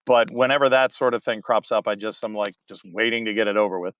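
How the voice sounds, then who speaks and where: A man's voice: fairly low pitch, fast, a bit bright, clean tone, no echo. Chatty man with a dry, close sound. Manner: rambling, hesitant with many restarts. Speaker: guest, audiobook narrator. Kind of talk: casual interview chat.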